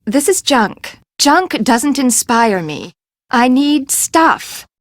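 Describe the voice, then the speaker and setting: An actress playing an imperious, eccentric fashion designer in a video game, with a haughty, dramatic, emphatic delivery. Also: female voice, high voice, animated, extremely slow, no room sound, studio quality, exaggerated voice. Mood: demanding, impatient.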